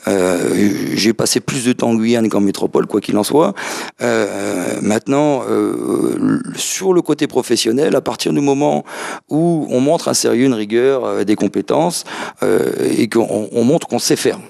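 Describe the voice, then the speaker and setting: A man's voice: medium pitch, a little slow, gravelly, a little mellow. A man in his thirties answering interview questions, hesitant and full of fillers and restarts. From mainland France. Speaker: interview guest.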